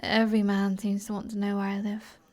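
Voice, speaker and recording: A British woman playing an alluring, flirtatious sorceress in an ASMR roleplay, in a soft breathy voice that often drops toward a whisper, with a teasing manner. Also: mid-range voice, monotone, brisk, close to an everyday voice. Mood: playful, teasing.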